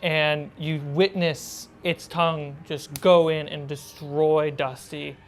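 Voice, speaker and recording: A woman's voice: low-pitched, steady tone, takes it slowly, slight background noise. A woman running a tabletop role-playing game, narrating the action and prodding players in a casual, teasing, sweary way. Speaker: game master.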